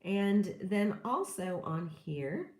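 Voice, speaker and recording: A woman demonstrating papercrafting in a gentle, chatty tutorial, a little hoarse from a lingering cold. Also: mid-range voice, big pitch swings, slow pace, reverberant. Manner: gentle, chatty, laughs softly while working. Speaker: papercrafting instructor. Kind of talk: casual craft tutorial demonstration.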